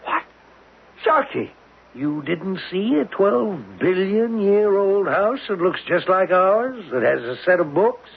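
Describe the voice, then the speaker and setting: An American actor in a 1970s radio drama, playing a blustering, sarcastic, exasperated oil drilling boss with a gravelly, rough-edged voice. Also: male voice, high-pitched, very animated, slow, exaggerated voice. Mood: sarcastic, incredulous. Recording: tinny narrowband 1970s radio recording.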